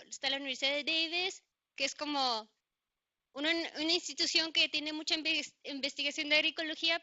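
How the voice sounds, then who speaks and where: A young woman in the audience poses a question, her talk chatty and loose and full of filler words. Her voice is high and very bright and sharp. The recording sounds dull and lo-fi. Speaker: audience member. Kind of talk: question from the floor.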